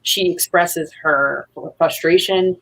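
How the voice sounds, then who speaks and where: A woman with a low, husky voice speaks as a guest in a casual interview. She is a playwright, and she talks in an earnest, rambling way. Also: steady tone, takes it slowly, rough recording.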